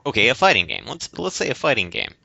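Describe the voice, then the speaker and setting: A man co-hosting a casual discussion podcast, explaining things in a halting, rambling way. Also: medium pitch, wildly swooping pitch, creaky, sharp tone, dry recording. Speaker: podcast co-host. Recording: dull, lo-fi voice recording.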